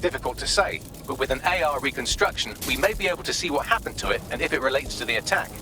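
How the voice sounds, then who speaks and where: Quick, animated, snarky AI. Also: male voice, a little high, creaky, music in the background. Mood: busy, explanatory. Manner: sardonic, articulate, animated. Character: witty, snarky male AI assistant. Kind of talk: video game voice acting.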